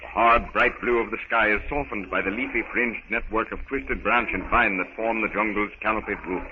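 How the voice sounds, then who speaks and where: A man's voice: lowish voice, brisk. A man narrating a scripted 1930s radio drama in a formal storytelling manner. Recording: muffled, noisy 1930s radio recording.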